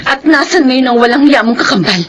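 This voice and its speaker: High-pitched, sobbing heartbroken young woman. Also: lively intonation, fairly quick, fairly bright tone. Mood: bitter, tearful. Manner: tearful, high, wailing delivery. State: crying. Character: grieving, bitter young woman, jilted by her fiance. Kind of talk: Filipino melodrama acting. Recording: music behind the voice.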